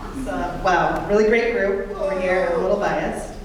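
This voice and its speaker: A woman facilitator talks quickly and warmly into a handheld mic at a conference. The room is large and echoey, with a steady murmur of background noise. Her tone stays upbeat and encouraging throughout. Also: medium pitch. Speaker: session facilitator. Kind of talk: workshop facilitation.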